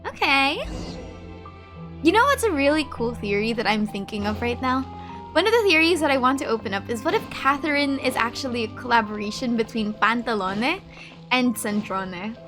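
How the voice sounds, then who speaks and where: A woman's voice: on the high side, expressive, normal speed, music in the background. A woman giving casual, playful playthrough commentary, reacting expressively to a game. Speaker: gaming video creator.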